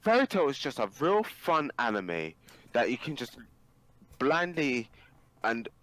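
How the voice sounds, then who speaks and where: A man's voice: mid-range voice, big pitch swings, unhurried, fairly bright tone, rough recording. A man talking casually and emphatically on a podcast as an opinionated, sweary anime fan. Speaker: podcast guest or co-host.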